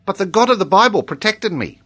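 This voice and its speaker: A man's voice: high-pitched, sing-song, quick pace, fairly bright tone, pitched above the performer's own voice, full character voice. A man voicing a devout, polite, earnest little boy in a storybook reading.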